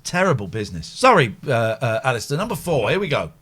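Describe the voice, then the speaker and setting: This man co-hosts a live late-night show, joking and teasing his guest all the way through. His voice is bright and forceful, and his pitch leaps around a lot. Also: quick pace, husky. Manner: jokey, teasing, animated. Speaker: co-host. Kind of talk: casual live chat show.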